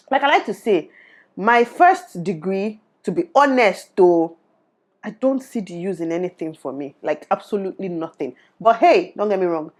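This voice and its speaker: A young Nigerian woman with a Nigerian accent, giving her opinions in an animated to-camera vlog and slipping into Pidgin. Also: mid-range voice. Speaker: YouTuber. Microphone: lapel.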